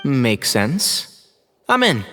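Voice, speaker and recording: An actor playing an easygoing, observant young scholar in a video game. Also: male voice, medium pitch, huge pitch swings, drawn-out words, bright, forceful tone, big-room sound, faint music behind, exaggerated voice. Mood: amused.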